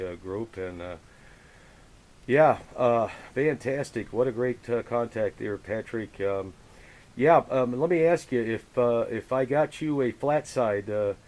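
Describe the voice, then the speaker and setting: A man operating a radio and hosting a contact, chatting casually and chummily in a halting, hesitant way. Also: fairly low pitch, darkish tone. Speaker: amateur radio operator running the frequency. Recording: hissy, noisy radio recording. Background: radio static hiss.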